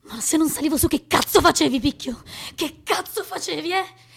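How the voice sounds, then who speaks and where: A woman's voice: high-pitched, normal speed, gravelly. An actress playing a worried, caring young woman in a naturalistic radio drama, earnest and emotional as she pleads and reproaches. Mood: upset, reproachful.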